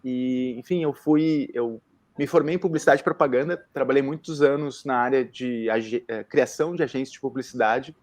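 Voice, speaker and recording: A man talking in an intense, expressive way as a guest introducing himself, using slangy interjections. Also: mid-range voice, moderate pace. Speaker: guest, founder of a social communication initiative. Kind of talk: casual self-introduction on a talk.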